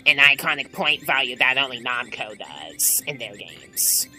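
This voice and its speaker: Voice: mid-range voice, very animated, measured pace, pushed and bright, low-quality recording. A video game commentator giving casual gameplay commentary, explaining things and adding personal opinions. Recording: game music behind the voice. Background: video game music.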